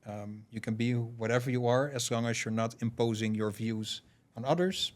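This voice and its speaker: A man from Curaçao, a guest on a podcast, telling stories in a thoughtful, relaxed way with many fillers. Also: mid-range voice, moderate pace. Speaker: podcast guest, payments founder. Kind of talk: casual podcast conversation.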